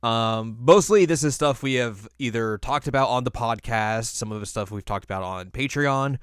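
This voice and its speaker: A man's voice: mid-range voice, moderate pace, clean tone, dry recording, studio quality. A man hosting a podcast in a casual, chatty style. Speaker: podcast host. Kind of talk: casual chat about video game films.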